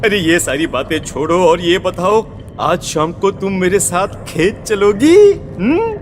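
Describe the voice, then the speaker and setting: A man voicing a creepy, possessed village husband in a horror story, wheedling and amorous, with halting words and questioning hums. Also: high voice, big pitch swings, a little hiss or hum, full character voice. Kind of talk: horror audio story acting. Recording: long reverb with music behind.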